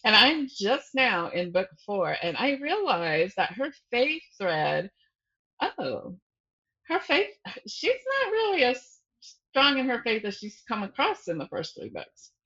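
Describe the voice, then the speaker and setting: Animated, bright, slightly husky woman author. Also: a little high, a little slow, lo-fi sound. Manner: animated storyteller, acts out thoughts and dialogue. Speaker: author being interviewed. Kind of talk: casual interview chat.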